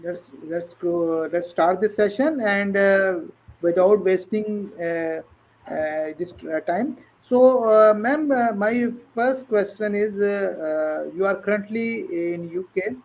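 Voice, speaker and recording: A man's voice: high voice, slow, darkish tone, poor audio. A man from Pakistan hosting a live online interview, hesitant with many fillers and restarts. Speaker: interview host.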